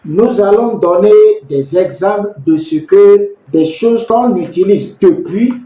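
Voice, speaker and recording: A man preaching a sermon in measured phrases, pausing for his interpreter. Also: sits high, mellow. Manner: slow, measured preaching with pauses for translation. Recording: echoey, muffled church recording.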